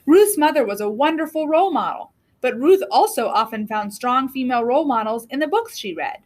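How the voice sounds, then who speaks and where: A woman reading a children's book aloud as a storyteller, with deliberate, expressive phrasing. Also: high-pitched. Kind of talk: read-aloud storybook narration.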